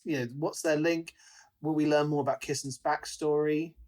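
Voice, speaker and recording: A man co-hosting a podcast in a casual book discussion, retelling things in an animated way and slipping into acted-out quotes. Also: on the high side, moderate pace. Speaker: podcast co-host.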